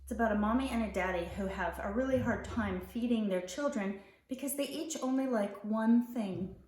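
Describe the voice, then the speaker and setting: A woman reading a picture book aloud to young children, expressively, with deliberate phrasing and drawn-out key words. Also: medium pitch, a little mellow. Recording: roomy echo, distant mic.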